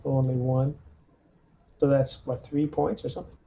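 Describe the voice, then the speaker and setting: A man's voice: mid-range voice, little pitch movement, moderate pace, gravelly, mellow, slight background noise. A man playing a tabletop role-playing game, chatting casually and jokily describing what his characters do. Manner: jokey, narrates his characters' moves in third person.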